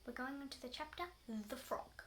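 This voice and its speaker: A woman reading a children's story aloud, somewhat halting and often going back over words. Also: high voice, steady tone, heavy background noise. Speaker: storybook reader.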